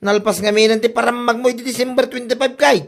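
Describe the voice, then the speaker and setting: A man's voice: very high voice, steady tone, quick pace, vocal fry. Man acting an exasperated, scolding Ilocano husband. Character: exasperated husband scolding his spendthrift wife Anita. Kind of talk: comedy radio drama acting. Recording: music behind the voice.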